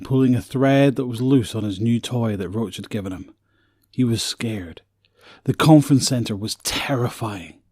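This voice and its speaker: A man's voice: medium pitch, mellow, no room sound, clean studio sound. A man reading scripted story narration for an audio drama.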